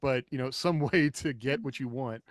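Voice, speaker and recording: A man's voice: medium pitch, moderate pace, no echo, studio quality. A man co-hosting a casual podcast discussion, rambling loosely and chuckling as he goes. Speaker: podcast co-host and writer.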